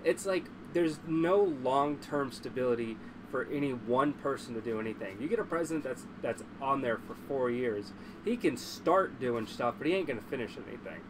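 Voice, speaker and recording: A man's voice: medium pitch, lively intonation, normal speed. Congested man livestreaming over background noise. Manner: blunt, profane, opinionated. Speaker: livestream host. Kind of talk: casual livestream chat.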